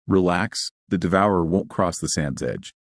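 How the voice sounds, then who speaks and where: A man voicing a small, mocking, mysterious spacefaring woman in an audio story, flippant and brisk in manner. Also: deep voice, expressive, dry recording, clean studio sound.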